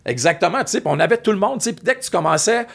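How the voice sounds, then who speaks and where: A man with a Québécois French accent telling animated, rambling anecdotes in a casual chat. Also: sits high, racing, creaky. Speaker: guest, former music TV host.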